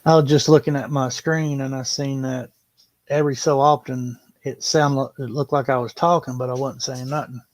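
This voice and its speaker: Guy guesting on a stream, just chatting, average pitch, really dry sound. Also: little pitch movement, normal speed, dry recording. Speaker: livestream guest. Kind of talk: casual chat.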